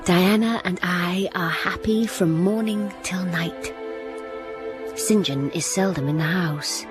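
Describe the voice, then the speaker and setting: A woman playing an earnest young governess in a radio drama, narrating in a quiet, reflective way and often dropping to a whisper. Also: lowish voice, slow pace, over music.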